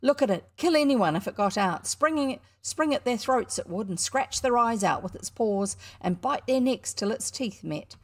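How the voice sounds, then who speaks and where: A scornful, cocky schoolboy barking at his audience, his voice pitched high and swinging up and down, put on by a woman reading aloud. He stays loud and indignant, full of showman's bluster. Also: expressive, normal speed, hardly any pauses. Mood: boastful.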